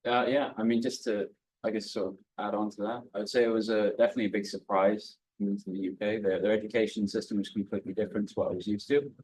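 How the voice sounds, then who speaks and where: A man speaking on a student panel, talking quickly in long run-on thoughts. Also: lowish voice, rough recording. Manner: quick, flat and a bit husky, talks in long run-on thoughts. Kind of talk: casual panel discussion.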